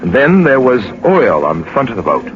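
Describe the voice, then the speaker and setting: An actor playing a suave, commanding gentleman detective in a 1940s radio drama, speaking with assured authority. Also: mid-range voice, huge pitch swings, brisk. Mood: calm, explanatory. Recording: noisy, dull 1940s radio recording.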